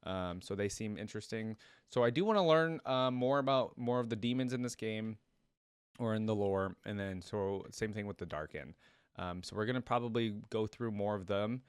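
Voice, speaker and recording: A man with a fairly low, smooth voice hosts a casual reaction vlog. He thinks aloud in loose tangents and addresses viewers informally. The sound is dry and close, with very little room on it. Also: moderate pace, no echo. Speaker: YouTube reaction host. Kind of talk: casual, rambling solo reaction commentary to viewers.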